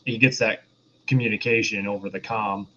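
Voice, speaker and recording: Dull lo-fi recording, faint noise, male co-host, lowish voice, casual podcast talk. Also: steady tone, normal speed, bright, forceful tone. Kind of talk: casual film discussion.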